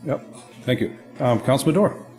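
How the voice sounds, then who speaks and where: A man serving as council president, chairing the meeting with brisk procedural calls. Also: medium pitch, expressive, a little mellow, faint music behind. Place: council chamber.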